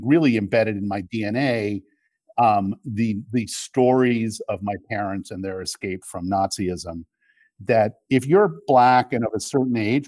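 Low-voiced, halting middle-aged man. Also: darkish tone, dry recording. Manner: halting, thoughtful, frequent repetitions. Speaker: interview guest, media executive. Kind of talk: reflective political discussion.

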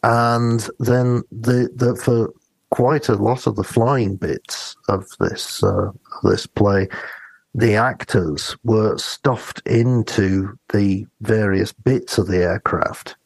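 A man co-hosting a podcast in casual, discursive conversation, with a gravelly, husky voice. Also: fairly low pitch, slow, no echo, rough recording. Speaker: podcast co-host.

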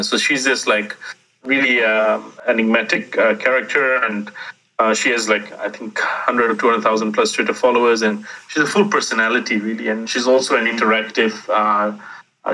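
Reflective man with a bright voice. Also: medium pitch, low-quality recording. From Singapore. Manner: reflective, meandering, self-deprecating humor. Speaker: startup founder, guest. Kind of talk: interview answer, personal storytelling.

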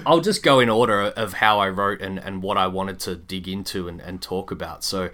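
Low-voiced man chatting about sports. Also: moderate pace, sharp tone. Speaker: podcast co-host. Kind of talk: casual basketball podcast chat.

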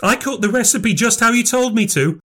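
A man's voice: very high-pitched, expressive, fairly quick, fairly bright tone, slightly rough, pitched above the performer's own voice, full character voice. A man voicing a genteel, self-righteous grandmother in an audiobook, prim and insistent. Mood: upset, protesting. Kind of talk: audiobook character voice.

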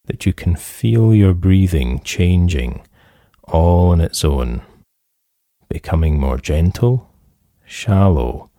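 A man leading a guided relaxation as a therapist, calm and soothing, with measured instructions and pauses. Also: low voice, no room sound, clean studio sound.

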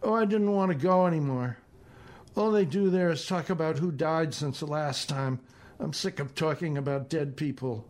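A man voicing an anxious, disappointed older woman who talks fast in a short story reading. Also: a little high, close to an everyday voice. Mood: agitated, weary. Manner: fast-talking.

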